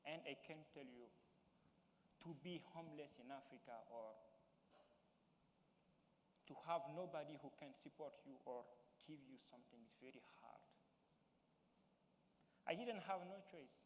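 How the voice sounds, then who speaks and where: Young Malian man in a reverberant hall. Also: a little high. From Mali. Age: young adult. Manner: halting, searching for words in English. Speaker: youth panelist. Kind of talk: personal testimony at a town hall. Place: large hall. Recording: reverberant hall with faint noise.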